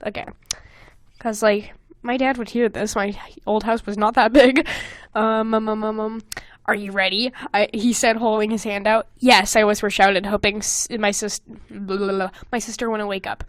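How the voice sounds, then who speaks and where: A young woman hosting a podcast in casual comedic chat, reading aloud and commenting with animated, sweary exasperation. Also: mid-range voice, normal speed, dry recording, studio quality. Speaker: podcast host.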